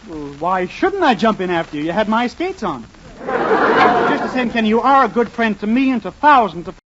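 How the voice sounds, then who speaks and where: A man's voice: high-pitched, sing-song, normal speed, over a noisy crowd. A man playing an eager, polite, hapless young man in love in a 1940s radio sitcom. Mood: earnest. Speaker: comedian, star of the show. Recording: muffled, noisy 1940s radio, studio audience.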